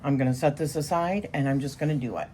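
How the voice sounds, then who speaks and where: A woman's voice: low voice, fast talker, noisy background. A woman with a strong voice, chatting casually and rambling a little as she talks through a tarot spread. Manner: chatty, rambling with many fillers. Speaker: tarot reader. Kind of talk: casual spoken tarot session.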